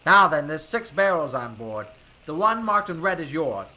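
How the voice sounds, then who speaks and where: Brash, sharp-voiced male smuggler played by an actor. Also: on the high side, very animated, normal speed, full character voice. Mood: breezy. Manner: brash, slangy old-time gangster talk. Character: cocky charismatic male bootleg smuggler and pilot. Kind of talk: 1930s radio drama acting.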